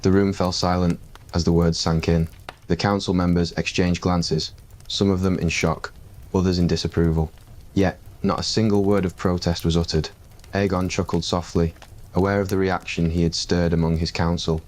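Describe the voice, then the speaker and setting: A man with a very deep voice reading a scripted ASMR story. His delivery is calm and even, with a fairly flat intonation. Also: moderate pace. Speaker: narrator.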